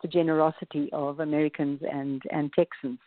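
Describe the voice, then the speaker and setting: A woman's voice: deep voice, little pitch movement, brisk. A woman in her forties with a South African accent, a wellness coach guest telling her story in a casual interview with small laughs. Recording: dry tinny phone line.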